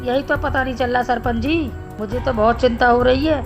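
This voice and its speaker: Woman playing male cartoon doctor, highish, creaky, measured, concerned, reassuring. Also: steady tone, close to an everyday voice. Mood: grave. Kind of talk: animated Hindi story dialogue. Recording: music behind the voice.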